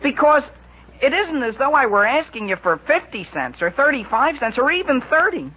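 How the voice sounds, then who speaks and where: A man's voice: very high voice, sing-song, normal speed, husky, some chatter behind, exaggerated voice. An actor playing an earnest teenage boy, politely persistent and wheedling, in a 1940s radio comedy. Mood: pleading. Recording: dull 1940s radio broadcast.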